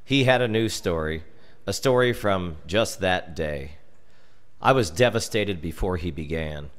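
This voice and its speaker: Male American storyteller, lower register, highly varied intonation, theatrical scripted narration with dramatic pauses. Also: lively intonation. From United States. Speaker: storyteller reading his comic family memoir.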